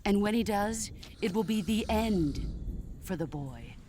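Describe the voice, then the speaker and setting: An actress playing a stoic veteran female soldier who speaks in a calm, measured, grave way over game music. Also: medium pitch, slight background noise, natural voice. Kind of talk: video game cutscene acting. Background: game score music.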